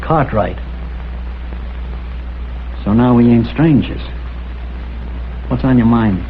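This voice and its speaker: An older man with an American accent, playing a crusty, proud, wry old hotel owner in a vintage crime drama, speaking in a blunt, folksy, deadpan way. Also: medium pitch, slow pace, lightly acted. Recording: tinny vintage broadcast, faint noise.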